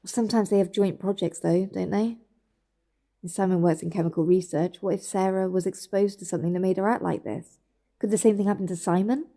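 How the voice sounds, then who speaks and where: A woman's voice: mid-range voice, steady tone, fairly quick, mellow, natural voice. A woman playing an anxious, suspicious journalist in an audio drama, speaking in a hesitant, questioning way. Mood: worried, suspicious.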